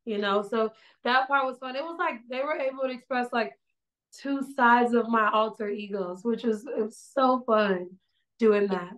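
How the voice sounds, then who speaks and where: A young woman talks on a video call from a small room, casual and animated, gesturing as she goes. Her voice sits a little high. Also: moderate pace, poor audio. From South Side of Chicago. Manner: animated, gestures a lot while talking. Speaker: songwriter guest. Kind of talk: casual interview chat.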